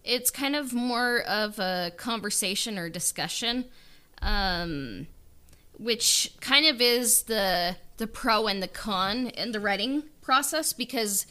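A woman's voice: medium pitch, expressive, takes it slowly, sharp tone. A woman writer speaking casually and reflectively in an interview, thinking aloud with lots of "like" and "kind of". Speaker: audio drama writer being interviewed.

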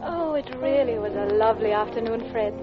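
A woman's voice: fairly high pitch, brisk, over music, lightly acted. An actress playing a married Englishwoman torn by guilty love, hesitant and confiding, in 1940s radio drama style. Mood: wistful. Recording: muffled vintage 1940s radio recording.

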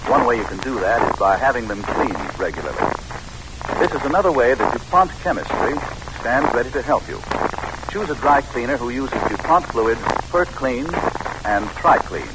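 A man delivers an old-time radio commercial. His mid-pitched voice is polished and instructive, on a noisy vintage recording. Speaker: commercial announcer.

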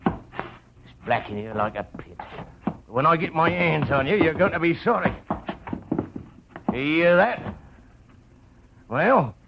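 An actor playing a menacing, short-tempered crook with a rough voice in a 1940s radio crime drama. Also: male voice, a little high, lo-fi sound, a little hiss or hum, heavily acted. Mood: furious. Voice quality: rough, gravelly.

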